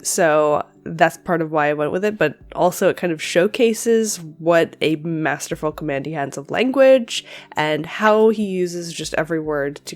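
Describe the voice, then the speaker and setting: A young woman with a slight cold, reading a short story aloud and then chatting casually about it with fillers and self-corrections. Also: deep voice. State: slightly stuffy with the sniffles. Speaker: audiobook reader and channel host.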